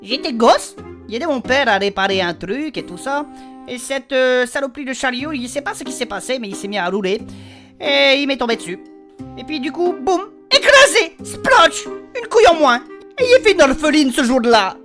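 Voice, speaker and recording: A small, touchy male adventurer voiced by a woman in a comic fantasy audio drama. The voice is high and swings about animatedly, whining and hesitating in clumsy sentences. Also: expressive, normal speed, fairly bright tone, soft background music. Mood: reluctant. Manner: whiny, hesitant, clumsy grammar.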